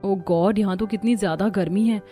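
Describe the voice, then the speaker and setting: A woman voicing a young newlywed daughter-in-law, polite and expressive, in a cartoon story. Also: medium pitch, fairly quick, faint music behind, voice pushed down, natural voice. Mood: uneasy, complaining. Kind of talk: Hindi cartoon story voice acting.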